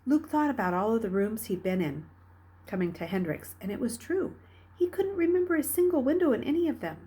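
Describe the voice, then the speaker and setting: Slightly husky woman reading a story aloud. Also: a little high, fairly quick. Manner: dramatic, expressive, with hushed dips and emphatic rises. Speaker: reader reading a children's novel aloud. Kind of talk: read-aloud storytelling narration.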